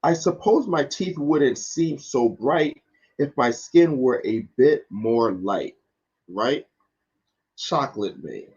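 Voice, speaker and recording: A man with a husky voice, a guest educator reading and talking to families in an informal way. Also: medium pitch, expressive, slow, a little mellow. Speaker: guest educator and children's book reader. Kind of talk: storybook read-aloud and informal talk. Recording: dull lo-fi online stream.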